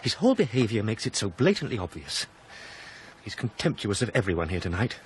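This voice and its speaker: A man's voice: on the low side, very animated, a little fast, slight background noise. An actor playing an indignant, protective house guest in a radio drama, an Englishman with an intense, insistent way of talking. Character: earnest, indignant younger male house guest, protective and anxious. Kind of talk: 1990s radio drama acting.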